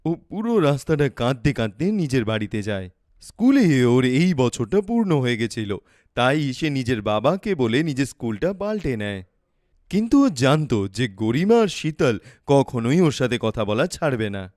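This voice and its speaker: Animated male cartoon storyteller. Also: medium pitch, normal speed, dry recording, clean studio sound. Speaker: narrator. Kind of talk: scripted cartoon story narration.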